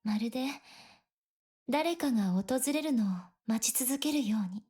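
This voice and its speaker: A woman playing a teasing, theatrical young woman with a tender side in a Japanese visual novel, playful and expressive. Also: on the high side, fast, husky. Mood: tender, wistful. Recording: game audio under loud music. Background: video game music.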